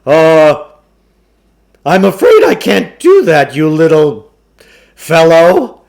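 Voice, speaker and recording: A blustering storybook huckster, played by a male reader, pitched high and pushed loud. The delivery is theatrical and wheedling, swooping up and down as he pompously works his sales pitch. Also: wildly swooping pitch, slow, creaky, heavily acted. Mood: evasive bluster. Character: blustering, wheedling huckster salesman peddling mind-control gadgets.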